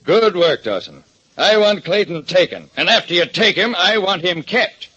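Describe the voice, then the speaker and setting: An actor playing a gruff police commissioner who gives curt, commanding orders. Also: male voice, high voice, expressive, normal speed, bright, forceful tone, exaggerated voice. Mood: stern. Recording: dull lo-fi old radio broadcast.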